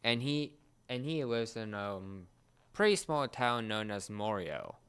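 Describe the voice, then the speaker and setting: A man co-hosting a casual talk, explaining things in a halting way with repeated and restarted phrases. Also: on the low side, very animated, fairly bright tone, clear, resonant tone. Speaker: podcast co-host explaining a series.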